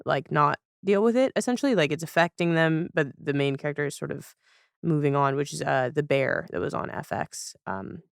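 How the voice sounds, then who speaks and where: A woman's voice: low voice, lively intonation, brisk, gravelly, smooth, no room sound, studio quality. A woman chatting casually as a podcast guest, thoughtful and often searching for words as she talks about television.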